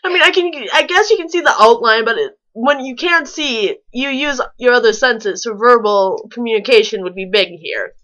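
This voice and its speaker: A woman's voice: a little high, normal speed, few pauses, a bit bright, low-quality recording. A woman reading fan fiction aloud with sarcastic, incredulous casual commentary, stumbling over the text now and then.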